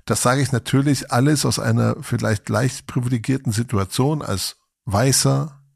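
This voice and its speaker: A German man in his forties co-hosting a podcast, talking in a relaxed, reflective way with some fillers. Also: mid-range voice, moderate pace, gravelly, breathy edge, no room sound, studio quality. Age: 40s. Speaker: podcast co-host. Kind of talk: casual podcast conversation.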